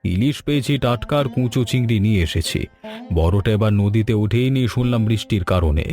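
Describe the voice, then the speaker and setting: A man's voice: lowish voice, brisk, mellow, faint music behind, natural voice. A man playing a polite, mildly worried middle-aged village father in a cartoon story. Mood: resigned, explaining.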